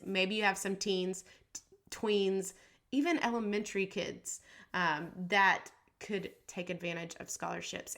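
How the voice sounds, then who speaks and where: Bright scratchy-voiced woman podcast host. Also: mid-range voice. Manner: upbeat, reassuring, chatty with fillers. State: scratchy voice from allergies. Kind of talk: casual podcast pep talk.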